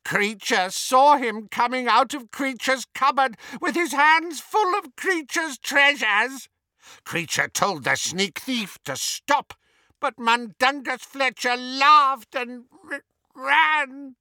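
A man voicing a wretched, groveling old male house-elf who wails shrilly or mutters in a muffled hush. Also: lively intonation, takes it slowly, dry recording, studio quality, heavily acted. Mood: frantic wailing. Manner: shrill and frantic when wailing, muffled and hushed when recounting. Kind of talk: audiobook character voice.

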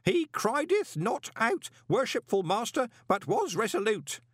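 A man voicing an obsequious, anxious old postman in an audiobook, deferential and folksy, with a hoarse edge. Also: high-pitched, huge pitch swings, moderate pace, fairly bright tone, no room sound, studio quality, voice pushed up, exaggerated voice. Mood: formal, eager. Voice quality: hoarse, whispery when urgent. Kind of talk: audiobook character voice.

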